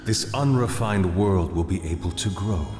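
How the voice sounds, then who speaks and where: A man playing a solemn, godlike villain in an anime game dub, grave, measured and commanding. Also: low-pitched, over loud music, lightly acted. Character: solemn, godlike male villain preaching pain. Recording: echoing hall reverb.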